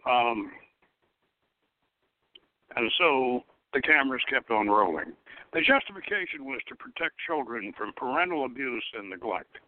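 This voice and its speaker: A man giving a halting, wry dramatic reading of a story, stumbling over the text now and then. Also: fairly high pitch, no room sound. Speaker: host reading own novel aloud. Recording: thin, dry, phone-like radio line.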